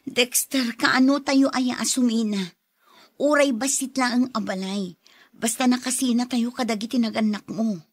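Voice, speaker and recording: A woman's voice: on the high side, steady tone, moderate pace, no echo, lightly acted. An actress playing a worn-down young wife and mother who pleads, in a Filipino radio drama with a Filipino accent. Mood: frustrated.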